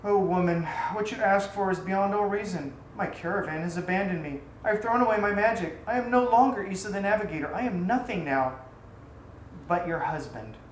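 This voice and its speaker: A woman's voice: medium pitch, a little fast, natural voice. Storybook role: despairing male navigator, grandiloquent and rueful, read by a woman; roomy, echoing recording. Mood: defeated. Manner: melodramatic, lamenting. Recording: echoey room, faint background noise.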